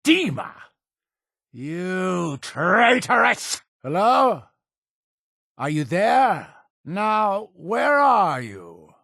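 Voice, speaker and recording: A man's voice: high voice, wildly swooping pitch, extremely slow, gravelly, pauses often, dry recording, studio quality, heavily acted. An actor playing a paranoid, imperious male cult leader in a video game, with a theatrical, preachy and menacing delivery. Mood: impatient, searching. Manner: theatrical, preachy, menacing, swinging from hushed to shouting. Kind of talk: dramatic video game voice acting.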